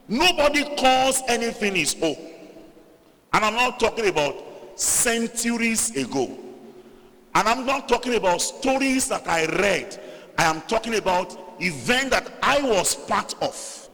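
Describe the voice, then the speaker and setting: A man's voice: big pitch swings, measured pace. Nigerian Yoruba man, pastor, emphatic sermon delivery, echoing hall. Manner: emphatic, rising to loud stressed phrases, then dropping low. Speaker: preacher. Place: church hall. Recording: reverberant hall.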